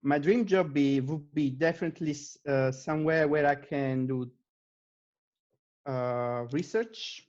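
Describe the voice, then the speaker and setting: A man's voice: mid-range voice, darkish tone, highs cut off. A man from Italy, a native Italian speaker with an Italian accent, answering questions as an interview guest in a conversational style. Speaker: interview guest, data scientist.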